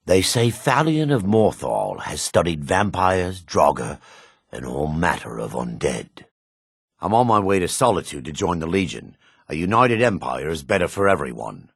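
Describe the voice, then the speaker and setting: Low, raspy, haughty dark elf. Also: male voice, no room sound, clean studio sound, heavily acted. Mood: matter-of-fact. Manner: curt, disdainful, suspicious. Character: gruff, haughty adult male dark elf rogue. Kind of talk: video game character dialogue.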